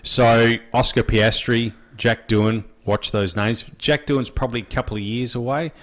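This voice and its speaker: A man's voice: mid-range voice, steady tone, fairly bright tone. A man hosting a casual sports podcast in a small studio, chatty and wry. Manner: chatty, wry, quick to agree. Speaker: podcast host.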